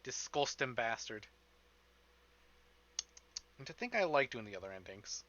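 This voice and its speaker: A man giving casual, profane livestream commentary on a game, expressive and often talking to himself. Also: medium pitch, measured pace, highs cut off, slight background noise. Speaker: streamer playing a visual novel. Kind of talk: casual livestream commentary with dramatic read-aloud of game text. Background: game music.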